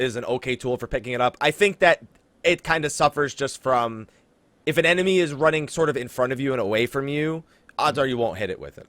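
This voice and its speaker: Animated man hosting a gaming podcast. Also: mid-range voice, no room sound. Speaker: podcast host. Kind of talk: casual gaming podcast chat, reading listener questions. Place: indoors, online voice call.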